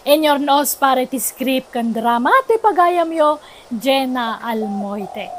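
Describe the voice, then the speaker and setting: A woman with an Ilocano Filipino accent narrates a radio drama as its host. Her voice sits high, and she tells the story in a dramatized way. Some background noise runs behind her. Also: slow pace.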